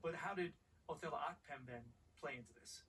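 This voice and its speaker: A man plays a wary male sportswriter in a game cutscene, his voice a little high. He speaks with wry, measured formality, slightly away from the mic over faint noise. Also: a bit bright, recorded from a distance, natural voice, rough recording. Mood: inquisitive. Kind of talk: cinematic video game dialogue.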